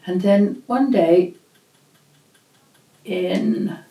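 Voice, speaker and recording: Slow, mellow-voiced older woman. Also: medium pitch, vocal fry. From England. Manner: slow, reflective, with fillers. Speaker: host. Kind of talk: storytelling. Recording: echoey room.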